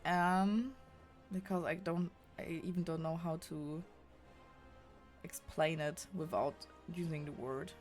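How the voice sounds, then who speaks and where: A woman's voice: lowish voice, fairly even intonation, slow pace, faint music behind. A young woman with a German accent chatting casually on a game stream, rambling and often restarting and correcting herself. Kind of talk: casual chat.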